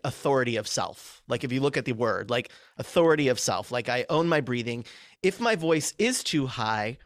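A man, a public speaking coach, explaining ideas in an energetic, casual podcast conversation full of filler words. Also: medium pitch, no room sound, studio quality.

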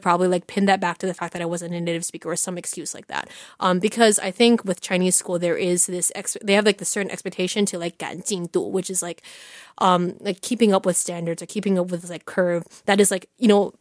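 A woman who teaches Chinese, telling a personal story in a relaxed, candid way with many "like" and "you know" fillers. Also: fairly low pitch, a little fast, no room sound, clean studio sound. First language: English. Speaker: Chinese language teacher, podcast guest. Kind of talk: casual conversational storytelling.

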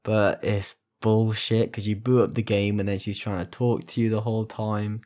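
Laid-back, swearing podcast co-host. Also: male voice, fairly low pitch, level tone, moderate pace, warm tone. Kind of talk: casual podcast banter.

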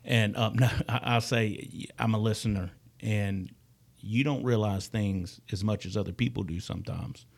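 A man's voice: lowish voice, vocal fry, a little mellow, husky. A man talking as a guest musician in a casual podcast chat, earnest and often stumbling over his words. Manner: halting, repeats words, earnest.

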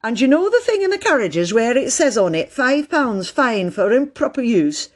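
A woman's voice: a little high, big pitch swings, normal speed, pitched above the performer's own voice. A woman voicing a chatty male railway porter in a children's storybook, explaining things in colloquial working-class phrases. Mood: chatty, anecdotal.

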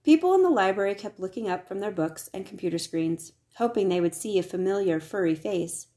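A woman reading a children's picture book aloud as scripted narration, with expressive delivery. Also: mid-range voice, fairly quick. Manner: expressive, acts out the dialogue. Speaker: storybook reader.

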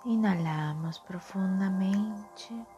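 A woman leading a guided meditation in a soft, soothing, often breathy manner with drawn-out phrases. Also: mid-range voice, highs cut off. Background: soft music.

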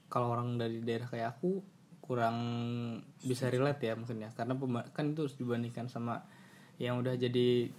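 A man's voice: mid-range voice, level tone, a little fast, darkish tone, a little hiss or hum. A young man from Kebumen with a Banyumasan ngapak Javanese accent, chatting casually as a student guest on a podcast. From Kebumen, Central Java. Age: young adult. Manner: loose storytelling with fillers and asides. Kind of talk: casual chat.

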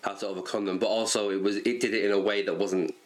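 Man, low voice, podcast co-host, enthusiastic, stumbling restarts. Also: level tone, quick pace. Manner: enthusiastic, stumbles and repeats words. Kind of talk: casual chat about cartoons and comics.

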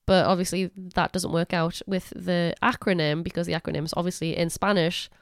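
Geordie woman with a cold, gravelly fry, dry close mic, joking podcast host. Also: fairly low pitch, little pitch movement, dry recording. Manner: jokey, quick to laugh. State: has a cold. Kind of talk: casual chat.

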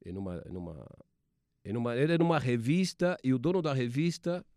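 A man in his forties from Argentina, speaking with an Argentine Spanish accent, a TV sports producer telling his career story in halting speech with many restarts. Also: medium pitch, lively intonation, a little mellow, no room sound, clean studio sound. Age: 40s.

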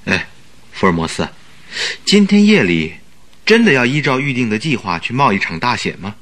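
Bright, animated, earnest sidekick. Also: male voice, mid-range voice, normal speed. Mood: anxious, questioning. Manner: questioning, exclamatory. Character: earnest, excitable male sidekick, puzzled and admiring. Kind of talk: Mandarin radio drama acting.

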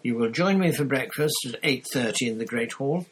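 Man reading as an old wizard headmaster, wry, measured, slightly husky, a bit higher than the reader's own voice, storybook. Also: animated, rough recording. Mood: wry, kindly firm. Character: elderly male headmaster wizard, calm and wry.

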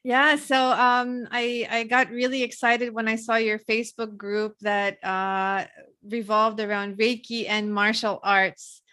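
Podcast host lady calling in from the philippines, bright sharp voice, lots of um and restarts. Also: on the high side, flat delivery, unhurried. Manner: warm encouraging interviewer with many fillers and restarts. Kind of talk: casual interview chat.

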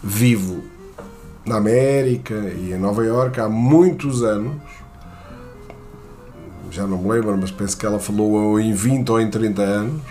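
A man from Portugal with a European Portuguese accent, telling a personal anecdote in a halting way, with many fillers and restarts. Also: lowish voice, mellow, faint music behind.

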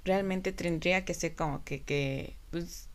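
A woman's voice: on the low side, a little slow. A woman interviewing a musician, asking long, rambling questions. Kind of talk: casual interview.

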